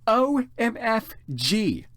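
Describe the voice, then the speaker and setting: A man puts on an excitable teenage goth girl. The voice is raised high and very animated, gossipy and teasing, in a dry dramatic storybook reading. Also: very slow, no room sound, exaggerated voice. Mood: excited.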